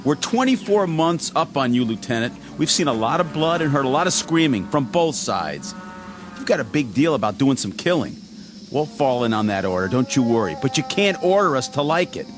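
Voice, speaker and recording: An actor playing a bitter, outspoken enlisted soldier worn out by war, blunt and impassioned, in a radio drama. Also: male voice, mid-range voice, big pitch swings, normal speed, natural voice. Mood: bitter, defiant. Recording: radio drama with music underneath.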